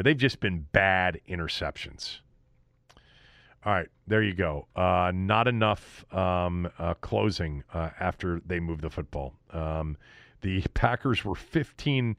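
An American man with a lowish voice recaps football as a sports talk host. His pitch swings widely as he hammers out blunt, emphatic opinions. The recording is close and dry. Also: a bit bright, dry recording.

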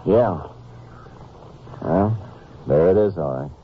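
Calm folksy texas ranger, deep-ish american drawl, old 50s radio show, tinny w lots of noise. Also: male voice, slow. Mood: alert, watchful. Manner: plainspoken, laconic Western drawl. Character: seasoned Texas Ranger lawman, calm and folksy, narrating his case. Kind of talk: 1950s radio drama acting and narration. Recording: noisy, tinny old 1950s radio recording.

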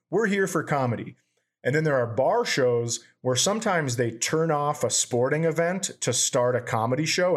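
A man co-hosting a casual podcast, mostly dropping short agreements before launching into a quick story of his own. His voice sits at an ordinary middle pitch. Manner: brief interjections, then fast storytelling. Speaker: podcast co-host. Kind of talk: casual chat.

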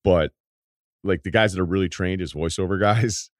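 A man with a deep voice hosting a podcast, telling rambling stories in casual chat and laughing at his own anecdotes. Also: moderate pace, dry recording, clean studio sound. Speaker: podcast host.

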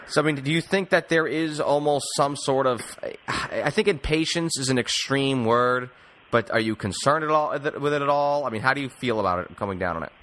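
A man's voice: mid-range voice, brisk, a bit bright. A man hosting a casual sports talk podcast, hedging and circling around his questions as he goes. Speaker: sports podcast host, interviewer.

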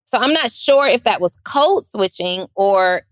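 A woman with a squeaky, raspy voice, a business owner chatting casually on a panel and acting out voices as she talks. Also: a little slow, no room sound. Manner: animated, acts out voices in quotes.

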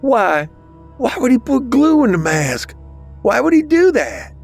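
Horror audiobook kid: a man acts out a scared, pleading boy, high and whiny, with swooping pitch, music behind. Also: wildly swooping pitch, a little slow, vocal fry, breathy edge, full character voice. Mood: panicked, crying. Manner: whining, gasping, crying pleas. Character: frightened, pleading young boy in a Halloween mask.

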